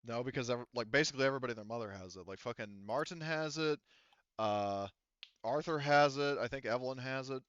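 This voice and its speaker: A man's voice: mid-range voice, brisk, fairly bright tone, clean tone, no room sound, lo-fi sound. A man talking casually and informally, with some swearing, as game master of a tabletop roleplaying game.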